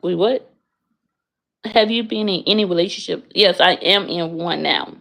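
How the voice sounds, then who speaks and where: Young american woman chatting from her bed, frank, a bit of fry in her voice. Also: fairly low pitch, moderate pace, fairly bright tone. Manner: blunt, frank, swears freely. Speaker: livestreamer answering chat. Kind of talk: casual livestream chat.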